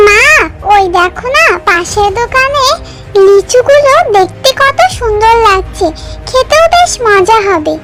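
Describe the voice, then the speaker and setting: A woman's voice: extremely high pitch, animated, a little slow, a bit bright, faint music behind, exaggerated voice. A woman voicing an eager little fox girl in a children's cartoon, childlike and sweetly coaxing. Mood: pleading, eager.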